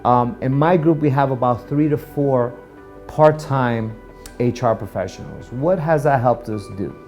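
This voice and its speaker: Man with mellow voice, giving practical advice. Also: medium pitch, a little slow, faint music behind. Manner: emphatic, checks in with rhetorical questions. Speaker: dental practice owner giving business advice to camera. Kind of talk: informal instructional talk for a video channel.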